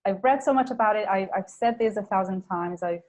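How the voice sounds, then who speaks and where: A woman hosts a webinar over a video call. She runs through the housekeeping and then gushes with enthusiasm as she introduces her guest. Her voice sits at a middle pitch. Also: fairly quick, darkish tone. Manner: enthusiastic, gushing. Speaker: webinar host.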